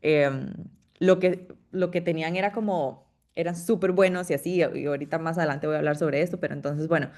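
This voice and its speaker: A woman's voice: deep voice, brisk, a little mellow. A young woman from Costa Rica with a Costa Rican accent, giving an informal, chatty talk to an audience, with frequent fillers and restarts. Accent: Costa Rican Spanish. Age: young adult. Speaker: guest speaker, PhD student.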